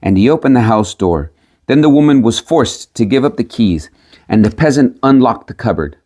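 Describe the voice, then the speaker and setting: A man's voice: medium pitch, animated, moderate pace, dark tone. A man reading a storybook tale aloud as scripted narration. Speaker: audiobook reader.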